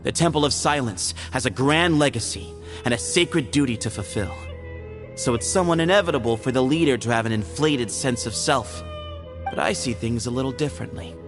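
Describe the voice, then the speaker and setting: An actor playing a calm, shrewd young man, heir to a temple, who speaks in a matter-of-fact way, in a game cutscene. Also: mid-range voice, a little fast, over music, natural voice.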